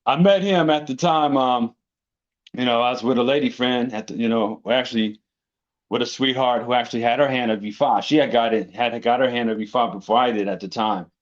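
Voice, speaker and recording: A man in a podcast conversation recounts his path at an easy storytelling pace, checking in with his listener every few phrases. His voice is mid-pitched and the recording sounds a bit muffled and lo-fi. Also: brisk. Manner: relaxed storytelling, peppered with "you know" and "right". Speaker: interview guest. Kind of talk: casual conversation.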